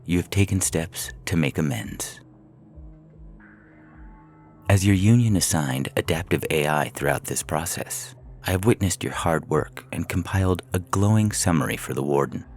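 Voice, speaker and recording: A man's voice: low voice, lively intonation, normal speed. A man reading scripted science fiction aloud as a podcast story narrator.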